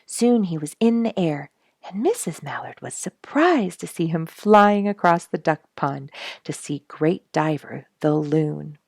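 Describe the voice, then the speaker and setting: A woman with a low, slightly gravelly voice reads a children's story aloud. Her delivery is expressive and highly varied in pitch, with emphasis on playful words. The sound is close and dry. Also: measured pace, no echo. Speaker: storybook reader and host.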